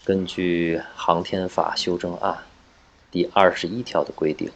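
A man playing a solemn, stern legal official in an audio drama, speaking with deliberate weight. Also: low-pitched, little pitch movement.

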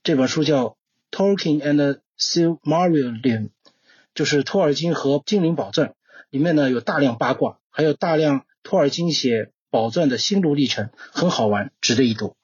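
A man's voice: a little high, expressive, normal speed, breathy edge, lo-fi sound. A man speaking as a podcast guest, giving prepared book recommendations. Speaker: guest translator recommending books.

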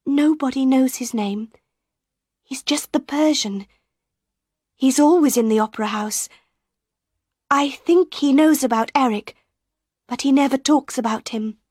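A frightened young woman singer, pitched well above the reader's own voice, her words trembling and slipping into hushed whispers, as a woman reader acts her out. She speaks slowly, and the recording is dry and close. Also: husky, no room sound. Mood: anxious. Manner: high, trembling, often breaking into whispers.

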